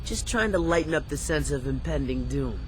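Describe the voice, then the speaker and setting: An actor playing a sarcastic teenage boy sidekick in an animated video game, dry and teasing, with music under the voice. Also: fairly high pitch, moderate pace, slight background noise, lightly acted, poor audio. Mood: dryly joking.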